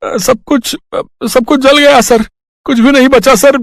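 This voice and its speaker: A man's voice: extremely high pitch, fairly even intonation, measured pace, dry recording. A man playing a panicked, stammering, deferential factory manager in an audio story. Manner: stammering, frantic.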